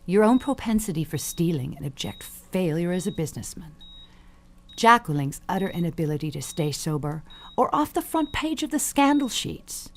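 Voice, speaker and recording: An actress playing an elderly, imperious, manipulative dying matriarch in an audio suspense drama, haughty and theatrical in manner. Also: female voice, on the low side, very animated, normal speed, a little hiss or hum. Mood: scornful, coaxing. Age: older.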